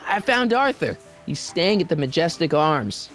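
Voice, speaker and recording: An actor playing an earnest, quick-witted teenage boy with an American accent and a casual, slangy delivery in a video game. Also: fairly high pitch, sing-song, a bit bright, faint music behind. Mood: eager, casual.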